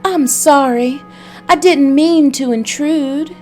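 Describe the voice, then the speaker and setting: A woman's voice: sits high, slow, darkish tone, soft background music, voice pushed up. A woman voicing a timid young woman from a noir game, anxious and politely pleading. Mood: apologetic.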